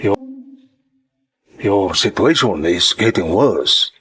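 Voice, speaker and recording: A man with a Filipino accent playing a concerned, insistent employer in a radio drama, speaking halting, deliberate English. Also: low-pitched, lively intonation, fairly bright tone, slightly rough, full character voice. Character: concerned male employer abroad, slow, insistent, kindly.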